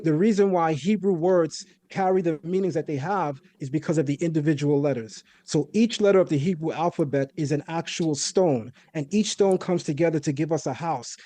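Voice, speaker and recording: A man raised in a Caribbean home explains a point of language step by step, often checking in with "right?". His voice sits fairly high but sounds mellow and dark. The sound is dry and close. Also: no echo. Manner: lecturing, methodical, rhetorical "right?". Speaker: guest debater. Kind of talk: explanatory debate on Hebrew.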